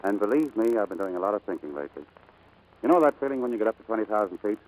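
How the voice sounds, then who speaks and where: A man acting a brash, lovestruck American navigator in 1940s radio drama style, brisk, cocky and insistent. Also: fairly low pitch, expressive, darkish tone, natural voice, rough recording. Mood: flirtatious.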